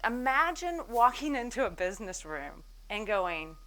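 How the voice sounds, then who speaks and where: A woman's voice: a little high, big pitch swings, moderate pace, fairly bright tone. A woman in her forties giving a business talk on stage, telling her story with self-mocking humor and acting out the people she quotes. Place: event stage before an audience.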